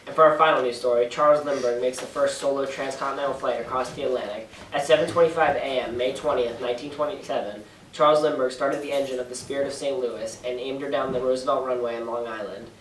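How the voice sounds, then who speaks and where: A young man delivering a scripted amateur radio news story as the announcer. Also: mid-range voice. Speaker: news announcer in a student project. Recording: echoey room, distant mic.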